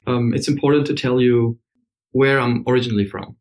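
A man's voice: mid-range voice, flat delivery, fairly quick, darkish tone. A man from Syria, a podcast guest, telling his story in a reflective, hesitant way with frequent fillers, in a hotel room. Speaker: interview guest. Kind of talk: conversational storytelling.

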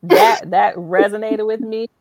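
A woman's voice: medium pitch, steady tone, measured pace, darkish tone, no echo. A woman hosting a conversational interview, speaking in a reflective, affirming and emphatic way. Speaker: show host and interviewer. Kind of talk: conversational interview, reading aloud from a book.

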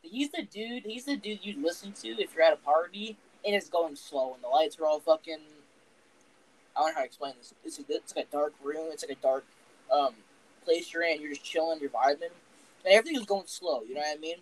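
A young man with a slightly raised voice talks casually on a podcast. He swears constantly and rambles through animated stories. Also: a little high, fairly quick, poor audio. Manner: profane, rambling, animated storytelling. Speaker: podcast co-host.

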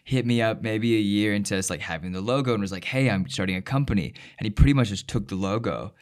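A young man hosting a podcast, talking in a relaxed, rambling way with lots of fillers. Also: lowish voice, fast. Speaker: podcast host. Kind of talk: casual conversation.

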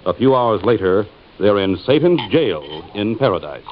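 A man's voice: lowish voice, sing-song, moderate pace. A man with an American accent narrating a story as an old-time radio announcer, in a scripted style. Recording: muffled old radio recording. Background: music.

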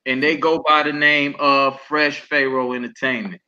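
A man co-hosting a podcast, introducing guests in a relaxed, casual way. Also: fairly high pitch, normal speed. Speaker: podcast co-host. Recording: dull lo-fi line.

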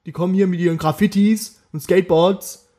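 A man's voice: sits high, steady tone, a little fast, dark tone. A man co-hosting a podcast, chatting casually and jokingly, often trailing off mid-sentence. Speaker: podcast co-host. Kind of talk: casual chat.

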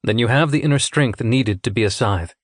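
A man voicing an unruffled senior male official in a narrated story, reasoning calmly with practiced patience. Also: medium pitch, fairly quick, dry recording, clean studio sound, close to an everyday voice.

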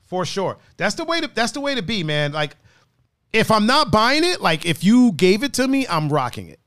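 A man hosting a relaxed podcast, his voice sitting a little high as he jokes around in slang. The sound is close and dry, with no room around it. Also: moderate pace, dry recording. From another country, raised partly on the East Coast. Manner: animated, laughing, slangy and playful. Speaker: podcast host. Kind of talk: casual chat.

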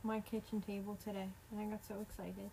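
A woman co-hosting a casual podcast, teasing her friend and tossing out quick jokey comebacks. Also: mid-range voice, level tone, creaky, mellow, a little hiss or hum, low-quality recording. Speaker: podcast co-host. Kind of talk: casual chat.

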